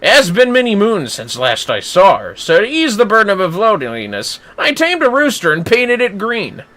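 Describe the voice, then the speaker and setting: Man doing a florid, theatrical wandering warrior, lively pitch. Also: normal speed, exaggerated voice. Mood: courteous, wistful. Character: dramatic wandering male warrior, boastful, florid storyteller.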